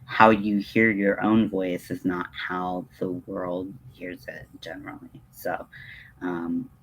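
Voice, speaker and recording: A man's voice: sits low, flat delivery, slow, a little hiss or hum, poor audio. A man hosting a casual livestream, rambling in a hesitant, digressive, self-deprecating way. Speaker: livestream host.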